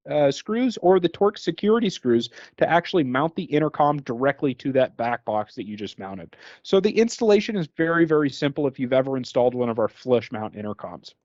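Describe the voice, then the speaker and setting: Higher-voiced man in a dull, dry recording. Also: lively intonation, moderate pace, darkish tone, dry recording. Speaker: product presenter and trainer. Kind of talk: explanatory product training talk. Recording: dull, band-limited recording.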